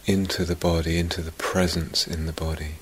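A man teaching guided meditation in a gentle, soft-spoken manner, leaving long pauses and letting phrases trail off toward a whisper. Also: low-pitched, flat delivery, a little hiss or hum.

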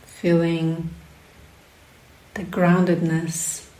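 A woman leading a guided meditation as a teacher, speaking in short, unhurried phrases with long pauses between them. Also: on the low side, monotone, mellow. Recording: roomy, echoing sound.

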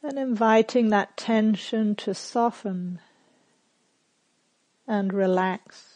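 A woman meditation teacher leading a guided meditation in a calm, gentle, measured manner with long pauses. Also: mid-range voice, dry recording, low-quality recording.

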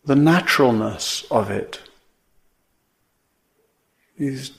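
A man teaching a guided meditation in measured phrases, with long pauses between them. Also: mid-range voice, expressive, vocal fry.

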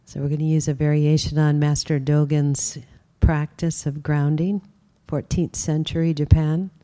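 A woman teaching a guided meditation, speaking gently and unhurriedly with long pauses. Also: low voice, little pitch movement.

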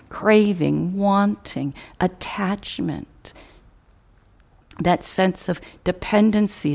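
A woman teaching a guided meditation in a measured, contemplative way, pausing between short phrases and softly trailing off at the ends. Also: on the low side, vocal fry.

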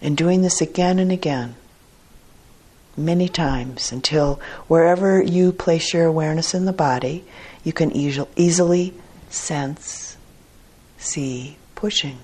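A woman teacher guiding a meditation in a measured, deliberate way with long pauses and a husky, soft-edged voice. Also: low voice. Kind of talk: guided meditation instruction.

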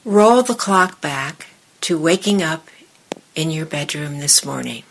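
A woman meditation teacher speaking to a group in measured, deliberate short phrases with pauses, her voice husky and breathy. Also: sits low, animated, slight background noise, poor audio. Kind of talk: guided meditation and dharma talk to a group in the room.

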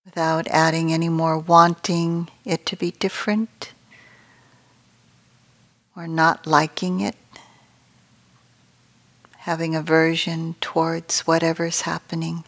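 Slow, even-toned woman guiding meditation. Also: low voice. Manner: gentle, unhurried with long pauses. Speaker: meditation teacher. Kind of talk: guided meditation.

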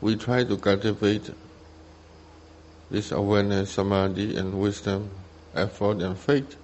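A man with a Burmese accent leads a guided meditation in a fairly low voice. He speaks very slowly, in short, simple phrases. Long pauses separate his instructions. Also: steady tone, a little mellow, muffled recording, a little hiss or hum. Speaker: meditation teacher, Buddhist monk.

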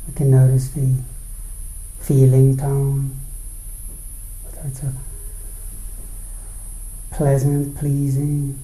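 A man leads a guided meditation in a roomy, echoing space. His voice is very dark and mellow. He moves slowly, setting out short phrases and pausing between them. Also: mid-range voice, monotone. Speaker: meditation teacher. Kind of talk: guided meditation, dharma talk.

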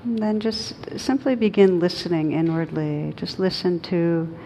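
Low-voiced woman gently leading a meditation. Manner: gentle, unhurried, with long pauses. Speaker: meditation teacher. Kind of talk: guided meditation led for a group. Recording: clearly noisy with low rumble.

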